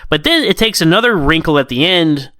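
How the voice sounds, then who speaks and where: An American man in a casual podcast chat, talking in a halting, rambling, animated way as a co-host reviewing stories. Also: on the high side, dry recording, studio quality.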